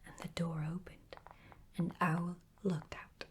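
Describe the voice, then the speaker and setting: A woman's voice: fairly low pitch, level tone, slow, slight background noise. A woman narrating a children's bedtime story in a soothing, whispery style.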